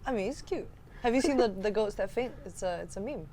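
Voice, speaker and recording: Woman, podcast co-host, average pitch, conversational, questioning, playfully skeptical. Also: lively intonation, fast talker, dark tone, slight background noise. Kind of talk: casual chat.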